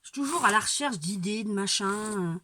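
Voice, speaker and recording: A woman's voice: medium pitch, animated, moderate pace. A woman with a cold, talking through a casual painting demonstration at home, hesitant and rambling with repeated words and wry asides. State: stuffy-nosed with a cold. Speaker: amateur painter showing her technique. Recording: home recording with clear background noise. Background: dog barking now and then.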